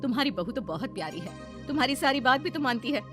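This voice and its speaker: A woman voicing a gentle, wise middle-aged neighbour in an animated moral story, reasoning in a calm, kindly manner. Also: sits high, quick pace, music in the background, voice pushed up. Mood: gently reproving.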